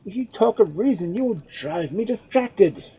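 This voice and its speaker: A woman's voice: low-pitched, big pitch swings, moderate pace, warm tone, breathy edge, pitched above the performer's own voice, heavily acted. A woman voicing a passionate young male lord who pleads and exclaims in a storybook reading. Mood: pleading.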